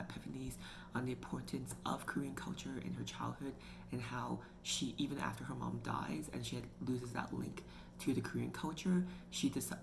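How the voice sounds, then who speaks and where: A woman's voice: very deep voice, vocal fry. A woman hosting her own book review channel, talking casually and chattily with lots of "like" and "you know". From Canada. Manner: chatty, filler-heavy with frequent "like" and "you know". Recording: noticeable background noise.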